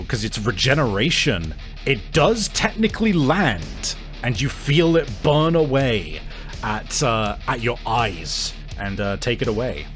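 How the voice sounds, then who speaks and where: Animated man running a tabletop game. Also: mid-range voice. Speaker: game master. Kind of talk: narrating a tabletop game, vivid improvised description.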